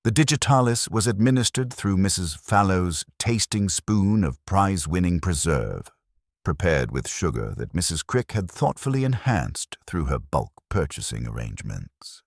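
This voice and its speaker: A man's voice: low voice, big pitch swings, normal speed, no echo, studio quality, heavily acted. A man voicing a mild, methodical police inspector in a mystery story, speaking formally. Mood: mild, exact.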